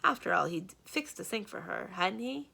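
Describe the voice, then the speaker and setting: A woman reading a children's story aloud as an expressive library storyteller. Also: medium pitch, moderate pace, creaky, slightly rough.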